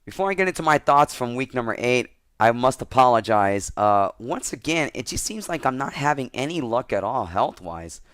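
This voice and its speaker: Slightly hoarse man talking football. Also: mid-range voice, sing-song, moderate pace, few pauses, fairly bright tone, no room sound. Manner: rambling, restarts sentences often. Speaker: football picks vlogger. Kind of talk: casual sports commentary.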